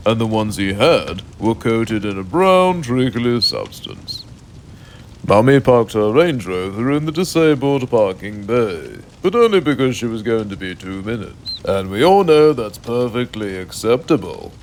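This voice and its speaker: A man's voice: mid-range voice, a little mellow, a little hiss or hum. A British man narrating a mock bedtime story in an avuncular, mock-cosy way.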